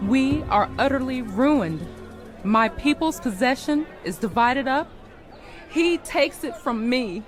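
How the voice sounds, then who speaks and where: A woman's voice: high voice, expressive, takes it slowly, soft background music. A woman voicing a lamenting, mocking mourner in a dramatic scripture reading.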